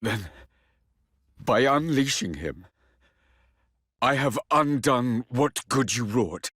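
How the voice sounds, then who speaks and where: A man's voice: medium pitch, expressive, takes it slowly, a bit bright, breathy edge, no room sound, studio quality, heavily acted. An actor playing a proud, regretful fallen king in a video game, speaking in solemn, archaic, theatrical phrases. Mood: anguished, bitter.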